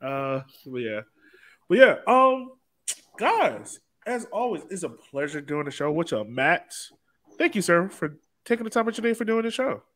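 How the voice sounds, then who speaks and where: A man's voice: high voice, very animated, moderate pace, a bit bright. An American man hosting a casual podcast, speaking off the cuff in loose, stop-and-start phrases. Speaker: podcast host.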